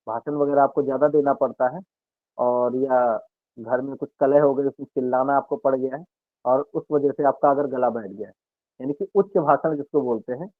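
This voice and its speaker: A man, an Ayurveda health expert, calmly explaining home remedies and speaking directly to listeners on a radio health talk. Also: medium pitch, dry recording. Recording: narrow dry radio broadcast sound.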